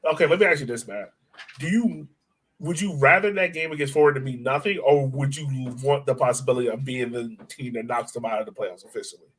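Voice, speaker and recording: A man's voice: mid-range voice, brisk, fairly bright tone, breathy edge, low-quality recording. A man hosting a casual soccer podcast, talking hesitantly as he thinks aloud. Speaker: sports podcast host.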